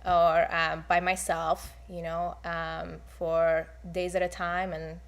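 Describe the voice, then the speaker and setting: A woman's voice: fairly low pitch, flat delivery, slow pace, vocal fry. A young woman from Hungary, speaking candidly with many fillers as she shares her personal testimony. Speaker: guest.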